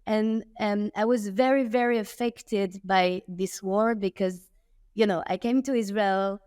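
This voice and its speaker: A woman's voice: mid-range voice, steady tone, unhurried, a little mellow, clean tone, no room sound, studio quality. A woman in her thirties from France with a French accent, speaking earnestly and emphatically as a guest on a remote interview. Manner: earnest, emphatic, with frequent restarts and "okay?" asides. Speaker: guest, tech entrepreneur.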